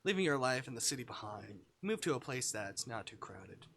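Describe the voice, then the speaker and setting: A man livestreaming a visual novel, switching between jokey chat and reading the text aloud in a playful, expressive way. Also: mid-range voice, brisk, noisy background. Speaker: live streamer playing a visual novel.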